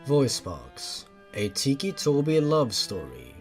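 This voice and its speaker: A man reading a fan fiction story aloud as a show host, in a theatrical, dramatic way with drawn-out emphasis. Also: medium pitch, huge pitch swings. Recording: music behind the voice. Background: music.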